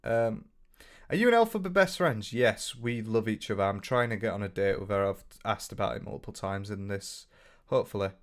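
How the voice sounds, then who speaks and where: Young northern English male streamer, lowish voice, loose rambling chat with restarts. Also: lively intonation, moderate pace. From north of England. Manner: rambling, frequent restarts, swears casually. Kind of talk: casual livestream chat reading comments.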